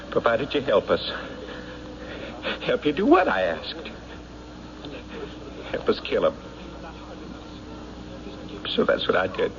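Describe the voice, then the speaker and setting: An actor playing a weaselly small-time crook in an old-time radio drama, pleading, halting and evasive under pressure. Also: male voice, mid-range voice, creaky. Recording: noisy, muffled vintage radio broadcast.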